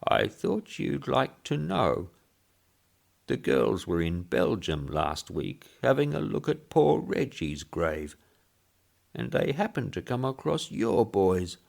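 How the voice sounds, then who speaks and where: A man's voice: lowish voice, big pitch swings, takes it slowly, creaky, a little mellow, voice pushed up, full character voice. Guy putting on a rambly old english fella for an audiobook, thin piping wobbly voice, takes his time. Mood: chatty, pleased. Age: older. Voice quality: piping, quavering. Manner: rambling, complaining gossip. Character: frail old Englishman, chatty, pleased, mildly indignant. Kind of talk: short story character voice.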